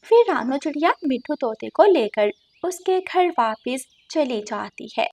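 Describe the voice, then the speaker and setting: A woman's voice: high voice, expressive, unhurried, a little mellow. A woman storyteller reading scripted narration for a children's tale.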